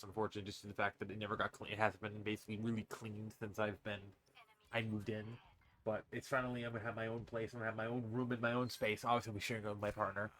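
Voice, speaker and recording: A man's voice: lowish voice, fairly bright tone. A man from Massachusetts with a faint Boston accent and a stuffy, congested voice, rambling through a casual vlog in his bedroom. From Massachusetts, USA. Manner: rambling, with many restarts and fillers. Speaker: streamer and vlogger. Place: his bedroom with a fan running. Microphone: desk mic on a stand.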